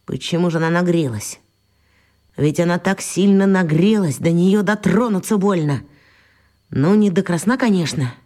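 A woman's voice: lowish voice, normal speed, warm tone, natural voice. A woman voicing a bossy, brooding schoolboy, a mild young hooligan, in a radio play, with emphatic questioning. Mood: worried, arguing.

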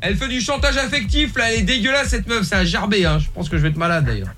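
A radio host making a prank call, playing a cheeky, provoking stranger with hesitant fillers. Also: male voice, high-pitched, expressive, quick pace, sharp tone. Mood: cheeky, mocking. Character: cheeky smug man posing as a stranger.

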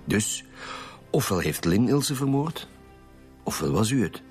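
A man's voice: lowish voice, sing-song, a little slow, husky, full character voice. A man with a Flemish accent playing a stern, wry lead homicide detective in a radio drama, with a formal, probing manner. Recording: music behind the voice.

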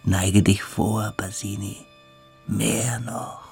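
An actor playing a fanatical, cruel young cadet in a radio play, giving hypnotic commands that sink toward whispers. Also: male voice, on the low side, level tone, slow pace, creaky, over loud music. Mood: rapt, fanatical.

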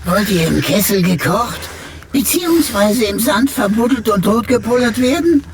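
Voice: medium pitch, slight background noise. An actor playing a chatty, cheeky talking computer in a radio play, with flowery, mock-archaic, servile and theatrical speech. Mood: teasing.

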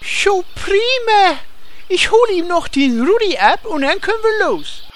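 Lady doing a goofy naive fantasy hero, slow drawly high voice, comedy audio play, bit noisy. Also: big pitch swings, heavily acted. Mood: cheerful. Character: naive excitable fantasy hero who dotes on a riding ram. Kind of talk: comedy audio drama acting.

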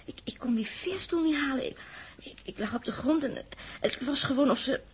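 Fragile, naive young woman in space; high voice, halting, wide-eyed, sixties radio play. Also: lively intonation, husky, full character voice. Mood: puzzled, wondering. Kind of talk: 1960s Dutch radio drama acting.

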